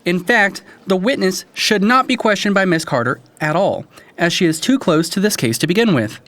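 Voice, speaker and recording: A man plays a formal prosecuting attorney in an audio drama. His voice is slightly high for a man, with lively movement in pitch. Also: normal speed. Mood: objecting, formal.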